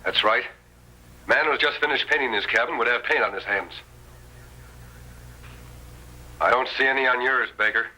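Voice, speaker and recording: A man's voice: medium pitch, fast, bright, forceful tone. An actor playing a resolute, courteous American masked lawman with an authoritative manner in a radio Western. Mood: confident. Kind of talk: old-time radio Western acting. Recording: noisy vintage radio recording, tinny.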